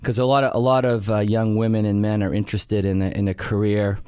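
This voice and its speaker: A middle-aged man hosts a radio show in a chatty, rambling way, full of ums and restarts. His voice sits low. The studio sound is dry and close. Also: flat delivery, a little fast, a little mellow, clear, resonant tone, no room sound. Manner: rambling, many fillers and restarts. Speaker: radio show host and company head. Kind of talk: casual radio chat and interview. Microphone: studio microphone.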